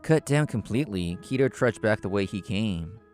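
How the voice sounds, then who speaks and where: A man with a low voice narrates a scripted story. His delivery is measured and explanatory, told as the main character himself. Soft music plays underneath. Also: lively intonation. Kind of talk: scripted first-person narration.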